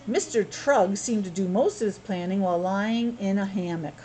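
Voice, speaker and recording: A woman's voice: mid-range voice, normal speed, warm tone, soft background music. A woman reading a picture book aloud to young children as a teacher, breaking off for chatty asides, explanations and questions. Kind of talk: read-aloud storytelling with casual asides.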